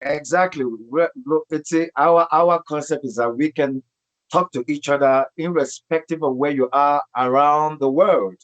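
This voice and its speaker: A man's voice: a little high, steady tone, measured pace, dull audio. A man hosting an online interview show, speaking emphatically and repeating phrases for emphasis. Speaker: host.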